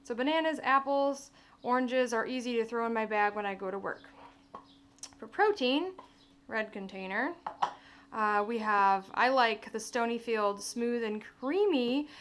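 A woman's voice: a little high, a little slow, a bit bright. A young woman talking in a chatty, informal way, giving a casual how-to vlog in her kitchen. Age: young adult. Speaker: vlogger and fitness coach. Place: home kitchen, indoors. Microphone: camera across the counter. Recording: echoey kitchen, away from mic.

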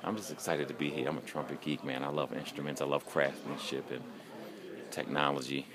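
A man in his forties walking through an instrument factory, filming himself on a phone held at arm's length and talking with excited enthusiasm. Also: very deep voice, brisk. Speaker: vlogger touring an instrument factory. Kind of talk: casual walk-and-talk vlog. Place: large indoor factory hall and showroom. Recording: phone recording amid workshop crowd noise.

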